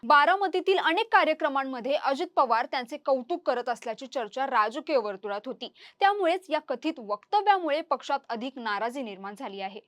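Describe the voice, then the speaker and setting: A woman's voice: high-pitched, expressive, a little fast, few pauses, a bit bright. A woman presenting scripted news narration.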